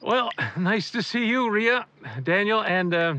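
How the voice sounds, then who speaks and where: A man's voice: high voice, unhurried, background noise. A man playing an affable, slightly awkward man in an audio drama. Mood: friendly, unsure.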